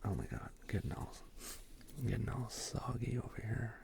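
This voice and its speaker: A man hosting a casual, rambling show-and-tell in a soft, gravelly ASMR whisper, with slangy asides. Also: on the low side, big pitch swings, moderate pace, background noise. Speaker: host.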